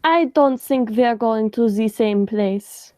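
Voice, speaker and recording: A woman's voice: fairly high pitch, slow pace, mellow, no room sound, studio quality. A woman playing a nervous, timid doctor in a radio play, hesitant and pleading. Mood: uneasy.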